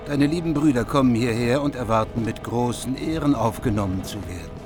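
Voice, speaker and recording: A man's voice: medium pitch, a little fast, over music, close to an everyday voice. An actor playing a courteous, dignified king in a medieval radio drama. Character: courteous, dignified king welcoming his guests. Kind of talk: radio play acting of medieval epic.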